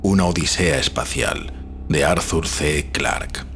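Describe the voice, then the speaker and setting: A man from reads literary fiction as a scripted audiobook narration, in a measured, expressive storytelling manner. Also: rumbling low, vocal fry, slight background noise. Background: background music.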